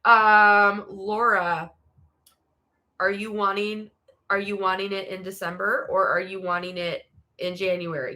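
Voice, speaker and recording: Stuffy-voiced bubbly woman host. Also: medium pitch. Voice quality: nasal, stuffy voice. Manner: bubbly, excitable, many fillers. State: stuffy and congested from a cold. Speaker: livestream host selling merch. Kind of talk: casual livestream chat. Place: home studio.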